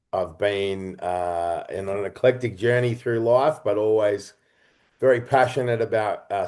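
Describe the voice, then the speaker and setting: A middle-aged man speaking as an interview guest, telling stories in a reflective, halting and earnest way. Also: medium pitch, mellow. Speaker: interview guest, writer, director and performer.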